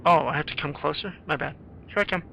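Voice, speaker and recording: A man's voice: fairly high pitch, quick pace, vocal fry, sharp tone, a little hiss or hum, low-quality recording. A man giving playthrough commentary on a video game, shifting into screenplay-like narration of the action. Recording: game music under the voice. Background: video game music and dialogue.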